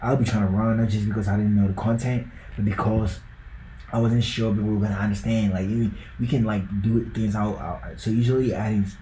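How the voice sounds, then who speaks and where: A man's voice: lowish voice, level tone, a little fast, dark tone, echoey room, a little hiss or hum, rough recording. A young man from Ghana with a Ghanaian accent, talking loosely on a podcast and filling his talk with likes and bros. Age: young adult. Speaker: podcast co-host. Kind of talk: casual podcast chat.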